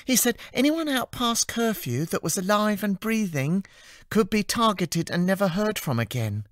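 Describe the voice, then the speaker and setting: A man's voice: high voice, sing-song, moderate pace, a bit bright, no echo, studio quality, pitched above the performer's own voice. A man voicing a cheerful, gossipy young female office assistant in an audiobook, chirpy, breathless and earnest.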